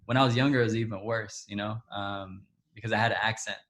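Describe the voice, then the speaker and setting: Soft-spoken Ethiopian-born man telling his story. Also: lowish voice, steady tone. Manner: halting, many restarts, soft trailing asides. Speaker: interviewee. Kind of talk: personal storytelling in conversation.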